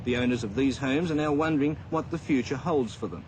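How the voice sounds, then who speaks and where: A man's voice: mid-range voice, brisk, a little mellow. A man delivering a scripted 1970s television news report as a correspondent. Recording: faintly noisy 1970s outdoor report.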